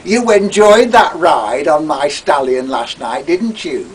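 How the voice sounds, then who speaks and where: A man's voice: fairly high pitch, lively intonation, slow pace, recorded from a distance, a little hiss or hum, pitched above the performer's own voice. A man voicing a lecherous, gloating older man who speaks in a smug, leering way.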